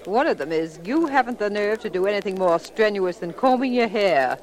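Actress as an arch society wife, lively intonation. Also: female voice, medium pitch, brisk, some chatter behind, lightly acted. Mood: teasing. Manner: arch, sardonic. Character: dry, teasing, sophisticated society wife. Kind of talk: 1940s radio drama acting. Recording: old radio recording, busy background.

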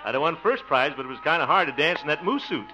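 A man's voice: on the high side, very animated, over loud music. An American man acting in a 1950s radio comedy as a bashful, flustered middle-aged bachelor who stammers and chuckles, on an old muffled recording. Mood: bashful, giddy. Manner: stammering, chuckling bluster, drawn-out words.

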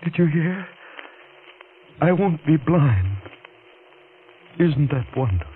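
An actor playing a frightened, needy husband, injured and in pain, halting and pleading in a 1940s radio drama. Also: male voice, on the high side, sing-song. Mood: excited, hopeful. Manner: halting, repeats words, pleading. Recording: scratchy, narrow-band 1940s radio recording.

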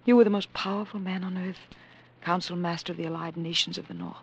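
Breathy, devoted, anxious lover. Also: female voice, on the low side, steady tone, quick pace. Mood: anxious, humble. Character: devoted, anxious young woman in love. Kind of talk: 1940s-50s radio drama acting. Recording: vintage radio drama recording.

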